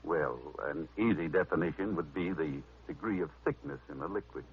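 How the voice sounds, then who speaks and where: A man's voice: rumbling low, normal speed. A man acting an earnest, reassuring American family druggist in a scripted 1940s radio commercial. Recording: tinny vintage radio recording.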